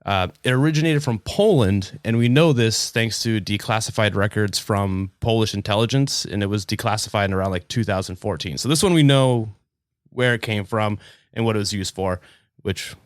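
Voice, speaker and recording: A man's voice: on the low side, no echo, clean studio sound. A man presenting on a podcast in an informal, casual explanatory chat with a wry streak.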